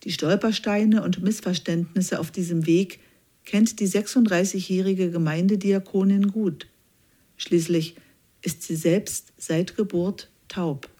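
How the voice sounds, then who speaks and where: A woman reading a scripted news feature aloud in an even, measured way. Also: on the low side. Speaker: news reader.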